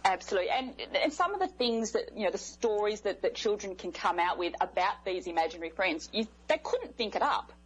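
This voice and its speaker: A woman's voice: medium pitch, big pitch swings, fairly quick, hardly any pauses, husky, rough recording. An Australian woman speaking over a Skype call as a guest, friendly and earnest, laughing readily. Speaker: guest clairvoyant medium. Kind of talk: interview on a call.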